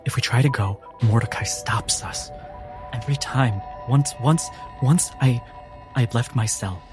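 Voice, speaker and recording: A man voicing a grim, fatalistic prisoner in a tabletop game, halting and repeating himself. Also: medium pitch, close to an everyday voice. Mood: haunted. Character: grim, fatalistic male prisoner warning of doom. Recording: music bed under the voice.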